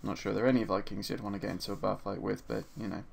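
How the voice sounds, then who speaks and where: A man with a slightly hoarse voice from a sore throat narrates a screen-recorded 3D sculpting tutorial in a relaxed, chatty way, with frequent ums and uhs. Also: on the low side, rapid-fire, a little hiss or hum. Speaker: 3D art instructor. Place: at his desk, voice-over for a screen recording.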